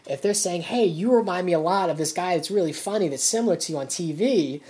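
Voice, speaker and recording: A man's voice: high voice, fast talker, darkish tone. Rambling man ranting about comedy. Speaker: comedian and podcast co-host. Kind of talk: casual comedy chat.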